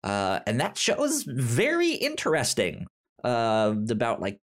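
Guy doing a casual podcast, middle of the road pitch, stumbles on first sounds a bit. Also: wildly swooping pitch, unhurried. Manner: frequent stammered sound repetitions. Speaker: podcast host.

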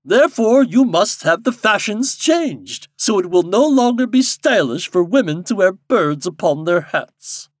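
Fairy king played by a man for a storybook: commanding, wise, stern, high-pitched, very animated. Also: unhurried, few pauses, dry recording, clean studio sound, heavily acted.

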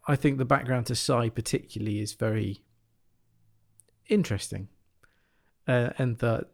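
A man giving his view in a casual review chat. His voice is mid-pitched with noticeable vocal fry, and he thinks aloud haltingly. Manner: hesitant, thoughtful, halting. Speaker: podcast panellist.

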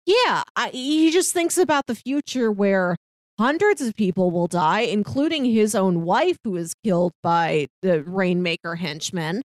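A woman's voice: mid-range voice, lively intonation, measured pace, no echo. Female podcast co-host, mid-range voice, very dry sound. Earnest, conversational film chat. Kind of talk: casual film discussion.

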